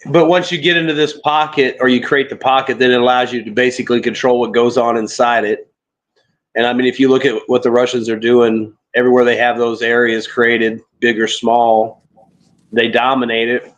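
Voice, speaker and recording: A man's voice: mid-range voice, flat delivery, moderate pace, lo-fi sound. A man in a casual online discussion, rambling and searching for the right words.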